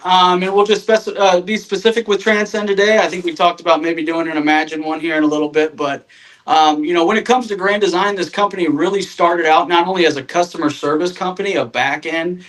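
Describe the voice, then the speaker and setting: Casting: thirties man from Northern Indiana, slightly high voice, modest and rambling, casual podcast guest over a call. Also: a bit bright, low-quality recording. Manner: self-deprecating, lots of fillers and restarts. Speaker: guest, RV sales manager.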